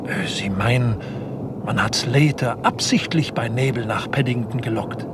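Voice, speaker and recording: A man's voice: medium pitch, unhurried, lightly acted. An actor playing an eager, deferential yet grumbling detective's assistant in a 1960s German radio crime drama, often hesitating as he thinks aloud. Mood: puzzling it out. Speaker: detective's subordinate. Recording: noisy old radio play recording.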